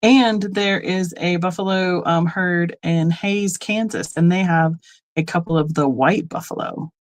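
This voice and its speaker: A woman's voice: lowish voice, unhurried. Chatty middle-aged woman hosting a live sale. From Colorado, United States. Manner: chatty, rambling asides, frequent ums and laughs. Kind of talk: casual live-stream selling chat.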